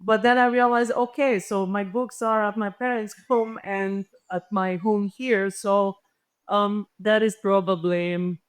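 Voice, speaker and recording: A woman's voice: mid-range voice, fairly even intonation, unhurried, clear, resonant tone. A woman from the former Yugoslavia, a native Serbo-Croatian speaker, thinking aloud on a panel with many hesitations. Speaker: panelist and literature researcher. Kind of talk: reflective panel discussion answer.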